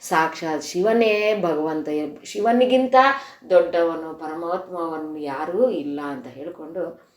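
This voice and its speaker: A woman's voice: deep voice, takes it slowly, darkish tone. A woman from gives an informal spiritual discourse, explaining verses in an earnest, conversational way. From Karnataka, India. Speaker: devotional speaker. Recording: echoey room recording.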